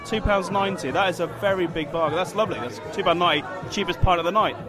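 A man's voice: high voice, fast. A tipsy British man co-hosting a podcast in casual chat. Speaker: podcast co-host. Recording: busy bar with music and chatter. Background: music and crowd.

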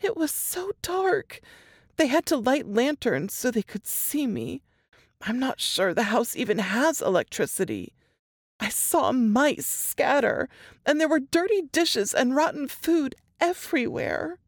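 A woman's voice: high voice, very animated, unhurried, dry recording, clean studio sound, pitched above the performer's own voice, exaggerated voice. A woman narrator voicing a distraught, frightened woman who speaks in a shaky, quivering voice. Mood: shaken. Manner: trembling, tearful telling. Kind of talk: audiobook character voice.

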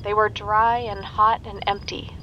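A woman in an audio drama telling a fairy tale in a slow, gentle and deliberate way. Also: mid-range voice, flat delivery, muffled recording, a little hiss or hum, close to an everyday voice. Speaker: storyteller. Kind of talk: audio drama, telling a bedtime-style story.

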